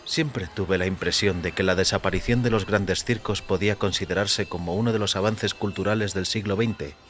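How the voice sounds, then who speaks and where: A male audio fiction narrator with a very low, forceful voice. He reads in a measured, literary and dramatic style, deliberate in phrasing, with music playing behind him. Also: steady tone. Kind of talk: dramatic scripted story narration.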